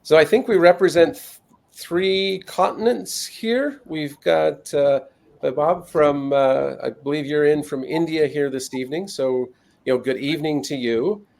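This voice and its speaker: A man's voice: on the high side, unhurried, gravelly, a little mellow. A man hosting an online interview in a friendly, loose way, with many fillers. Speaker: host.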